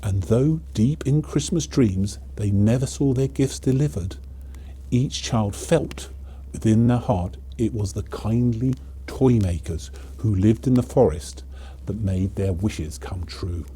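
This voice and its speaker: A man narrating a children's storybook in an expressive, theatrical, measured way. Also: fairly low pitch, slightly rough.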